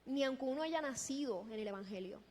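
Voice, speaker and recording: A woman preaching a sermon in a deliberate, emphatic way, posing rhetorical questions. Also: high-pitched, animated, darkish tone. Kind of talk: sermon with scripture exposition. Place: large echoing church hall. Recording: long reverberant hall sound.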